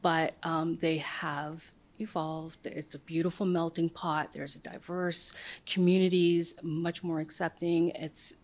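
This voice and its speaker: Woman guest, 40s, from Southern California, conversational, animated storytelling, lower voice. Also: a little slow. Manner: animated storytelling, voices other people in quotes. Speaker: guest, city office director. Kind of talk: conversational interview.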